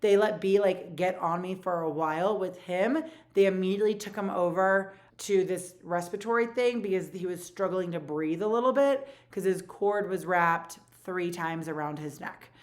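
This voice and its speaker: Low-voiced woman telling a story. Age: 40s. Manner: animated, rapid retelling with many fillers. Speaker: vlogger. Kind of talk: casual storytelling.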